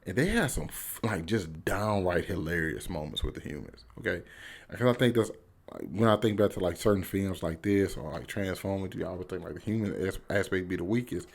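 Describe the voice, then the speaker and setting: Casting: American man, low voice, enthusiastic online film reviewer, informal and emphatic, with slangy off-the-cuff delivery. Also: a little fast, gravelly. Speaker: YouTube movie reviewer. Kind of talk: casual, unscripted video review to camera.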